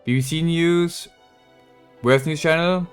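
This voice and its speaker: A man's voice: a little high, fairly even intonation, takes it slowly, clear, resonant tone, soft background music. A man chatting casually as he streams a game, blunt and sweary in his rambling. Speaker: game livestreamer. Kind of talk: casual gaming stream chat.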